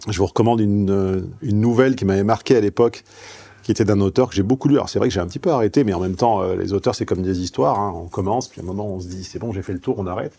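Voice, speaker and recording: A man's voice: on the low side, very fast, creaky, warm tone, husky. Frank, salty-tongued seminar speaker man. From southeastern France. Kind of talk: seminar talk.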